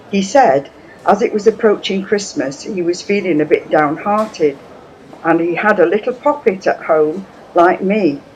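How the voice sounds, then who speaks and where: A woman's voice: lowish voice, darkish tone, dull audio, a little hiss or hum, close to an everyday voice. Woman playing an memoirist, faint ambience behind. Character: Englishwoman fondly recalling her wartime childhood. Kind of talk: first-person memoir reading.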